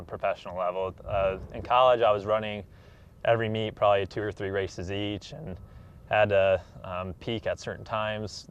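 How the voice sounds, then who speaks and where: A man, answering casually as an athlete being interviewed. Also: lowish voice. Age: 20s. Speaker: interview guest, professional track athlete. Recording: dull, slightly noisy room recording.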